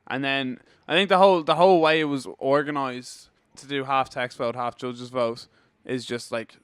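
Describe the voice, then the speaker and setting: A man's voice: mid-range voice, fairly even intonation, moderate pace, smooth, dry recording. A young Irish man chatting casually in an interview as a band member. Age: young adult.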